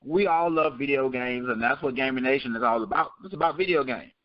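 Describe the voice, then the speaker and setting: Male host, chatty and familiar, mid-pitched, on slightly dull, close dry audio. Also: fairly quick, no echo. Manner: chatty, addresses guests as my man. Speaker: show host. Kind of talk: casual panel show hosting.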